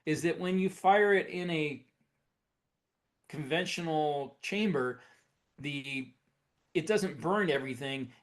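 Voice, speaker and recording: A man with a moderately high voice speaks as a podcast co-host. He talks through speculative technical ideas casually, explaining them in measured, halting phrases. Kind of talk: casual speculative tech discussion.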